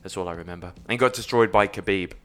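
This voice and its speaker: A man's voice: deep voice, quick pace, a bit bright, soft background music. A man co-hosting a comedy podcast, joking in a playful, loose, apologetic way. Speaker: podcast co-host. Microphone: studio microphone.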